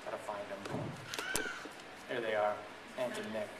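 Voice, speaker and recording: A man performs as a playful, theatrical storyteller for a gathering of families, checking in with his listeners as he goes. He sounds distant from the microphone, in a roomy, reverberant space with clear background noise. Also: on the low side, flat delivery, measured pace. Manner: theatrical, playful, addresses the audience with questions. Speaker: children's book reader and blogger. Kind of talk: animated read-aloud to a group of families. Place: large echoey indoor room at a school event. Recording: echoey noisy room, distant mic.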